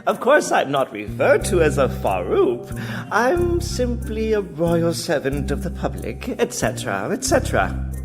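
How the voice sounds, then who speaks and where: Pompous alien crown prince for radio drama: high, swooping voice, grandiose and rambling, music underneath. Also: male voice, huge pitch swings, measured pace. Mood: grand, rambling. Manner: formal, grandiose, trailing off mid-speech. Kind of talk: radio play acting. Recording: music behind the voice.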